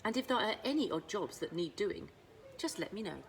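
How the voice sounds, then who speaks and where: A woman's voice: medium pitch, very animated, a little fast, creaky, background noise. A woman reading a storybook, voicing a polite, helpful young man with perfect manners. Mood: courteous. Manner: courteous, well-mannered.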